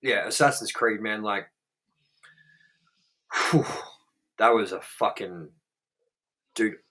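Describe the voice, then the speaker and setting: A man's voice: fairly low pitch, slow pace, fairly bright tone. A man with an Australian accent, co-hosting a movie review chat, blunt and profane, rambling with lots of fillers.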